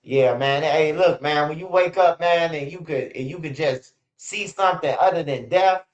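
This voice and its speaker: A man's voice: fairly high pitch, moderate pace, dull audio. A man, hosting a livestream and telling street stories in a blunt, profane, casual way, dropping "bro" and "man" often. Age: 40s. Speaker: host of a street news channel.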